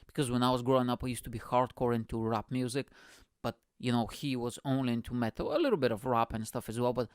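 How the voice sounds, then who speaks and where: A young man from Bulgaria, a podcast host telling stories in a rambling, profane, animated way. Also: mid-range voice, fast talker, no room sound, studio quality. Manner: profane, rambling, animated, constant "you know what I'm saying". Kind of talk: casual storytelling monologue.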